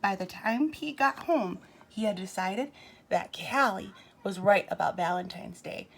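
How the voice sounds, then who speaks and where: A woman's voice: medium pitch, unhurried, vocal fry. A woman reading a picture book aloud to young children like a teacher, expressively, with simple asides and questions to the listeners.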